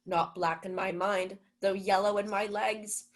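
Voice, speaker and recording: A woman's voice: medium pitch, little pitch movement, measured pace, natural voice. Smug, lovesick manservant played by a woman, grandly declaiming Shakespeare for radio. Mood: smitten, smug. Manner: pompous, declamatory. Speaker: student actor. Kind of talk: Shakespeare in 1940s radio play style.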